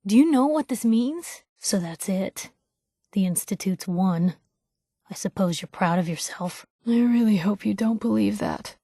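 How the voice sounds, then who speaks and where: An actress playing a feisty, wry newspaper reporter in a post-apocalyptic video game, outspoken and sardonic. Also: female voice, medium pitch, expressive, unhurried, dark tone, breathy edge, dry recording. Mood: earnest, intense. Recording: dry studio game voice lines.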